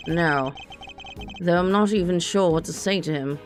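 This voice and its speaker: A woman reading the lines of a composed male prosecutor in a game, in her own slightly raspy, lowish voice. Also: normal speed, soft background music, natural voice. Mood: reflective. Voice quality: lightly raspy.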